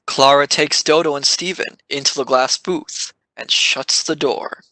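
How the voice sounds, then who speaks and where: A male narrator reads scene descriptions for an audio play. His voice is fairly high for a man, crisp and forceful, with a dry sound. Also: fairly even intonation, a little slow, no echo, poor audio. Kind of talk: scripted narration of an audio drama.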